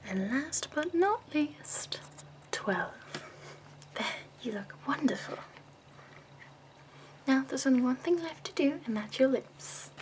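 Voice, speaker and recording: A woman voicing a prim, chipper escort who does your makeup, speaking softly in a half-whisper with fussy, doting praise. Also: high voice, animated, a little hiss or hum, low-quality recording. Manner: soft-spoken, half-whispered and slow. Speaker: ASMR roleplay performer. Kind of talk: soft-spoken ASMR roleplay.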